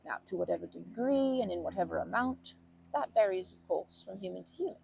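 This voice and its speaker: Kindly old grandma, played by a woman, for ASMR comfort roleplay: creaky, mellow, gently rambling and nurturing. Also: mid-range voice, animated, a little hiss or hum, poor audio. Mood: earnest, reassuring. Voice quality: husky, creaky voice. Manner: gentle, rambling, halting, doting endearments.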